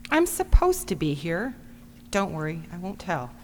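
Woman acting wry coroner, lively and low. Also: moderate pace. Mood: wry, matter-of-fact. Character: wry, matter-of-fact female medical examiner. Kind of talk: audio drama acting. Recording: long hall reverb, faint hum.

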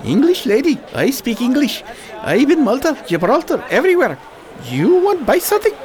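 Performer acting a male bazaar shopkeeper, foreign accent, broken English, talkative, very lively pitch, radio drama. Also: moderate pace. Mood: eager selling. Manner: broken English, short emphatic repeated phrases.

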